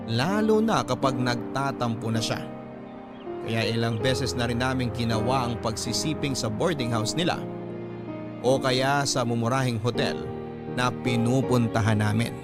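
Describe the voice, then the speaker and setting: A man with a Filipino accent reading a listener's love story as a radio host, in measured, dramatic narration. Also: medium pitch. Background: prominent music.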